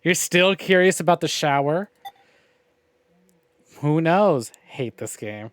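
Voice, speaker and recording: A man's voice: fairly high pitch, lively intonation, takes it slowly, a bit bright, no room sound, clean studio sound. A man giving casual, opinionated livestream commentary on a visual novel game, with wry, profane grumbling. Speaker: game streamer.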